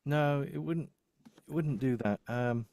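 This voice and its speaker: A man chatting casually and haltingly on a video call, often muttering to himself as he works. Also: mid-range voice, a little mellow, dry recording. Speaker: co-host and audio producer. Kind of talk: casual live troubleshooting chat. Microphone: microphone on a video call.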